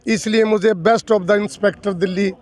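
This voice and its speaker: A man's voice: high voice, steady tone, unhurried. A man in his sixties from the villages of North Delhi, answering interview questions as an election candidate in an earnest, emphatic way, repeating and listing points. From North Delhi villages, India. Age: 60s.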